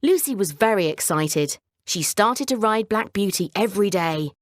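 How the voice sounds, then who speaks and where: A woman narrating a scripted children's bedtime story in a measured, clearly phrased way. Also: mid-range voice, expressive, dry recording, studio quality.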